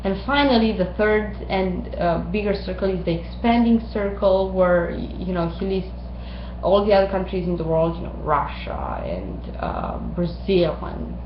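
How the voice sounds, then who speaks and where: A woman from Romania in her thirties, giving a recorded mini-lecture with many ums and pauses. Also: mid-range voice, expressive, noisy background. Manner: thoughtful, many ums and uhs, halting. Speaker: instructor. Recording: roomy, dull lo-fi recording.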